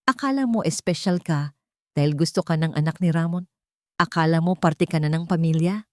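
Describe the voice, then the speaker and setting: A woman voicing a cold, calm security chief who taunts and menaces in an audio drama. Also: deep voice, dry recording, studio quality, close to an everyday voice. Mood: cruel taunting. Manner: unhurried, insulting, emotionless. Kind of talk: dramatized audio story dialogue.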